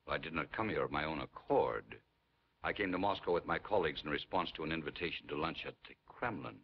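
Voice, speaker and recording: Casting: weary middle-aged political prisoner, extremely low husky voice, restrained delivery, vintage film drama. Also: male voice, fast, exaggerated voice. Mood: wary. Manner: restrained, terse, dignified. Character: weary, guarded middle-aged Polish underground leader held prisoner. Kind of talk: 1950s film acting. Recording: dull 1950s film soundtrack.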